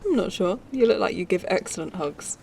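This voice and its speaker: Earnest low-voiced woman acting a space traveller. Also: expressive, fairly quick, vocal fry, darkish tone, slight background noise. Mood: friendly, relaxed. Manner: earnest, confiding. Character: troubled, grieving human woman, a displaced space traveller. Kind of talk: science-fiction audio drama acting.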